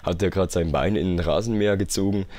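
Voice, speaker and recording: A man's voice: sits low, quick pace, a little mellow, no echo. A young man with a Swiss German accent giving casual, slangy reaction commentary, full of sarcasm and self-mockery. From Switzerland. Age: young adult. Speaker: YouTube gamer.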